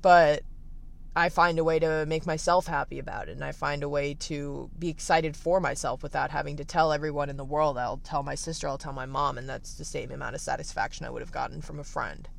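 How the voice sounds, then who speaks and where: Raspy, gravelly teenage guy talking candidly. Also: a little high, fairly even intonation, brisk, slight background noise. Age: late teens. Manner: candid, thoughtful, many fillers and restarts. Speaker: livestreamer. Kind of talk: reflective casual livestream monologue. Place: at the beach.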